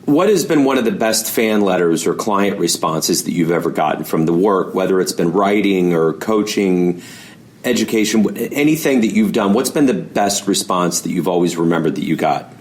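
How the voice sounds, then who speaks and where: Low-voiced man hosting an interview. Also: lively intonation, moderate pace. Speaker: interview host. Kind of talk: conversational interviewing.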